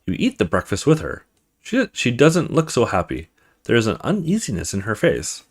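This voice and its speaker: A man's voice: mid-range voice, wildly swooping pitch, moderate pace, husky. A man hosting a let's play, reading a horror visual novel aloud in a dramatic storytelling way with casual asides.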